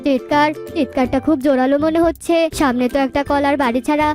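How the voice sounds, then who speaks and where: A woman's voice: sits high, steady tone, fast talker, a little mellow, soft background music, close to an everyday voice. A woman voicing an anxious, money-minded bird in a children's cartoon, fretful and often thinking aloud. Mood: puzzled, concerned.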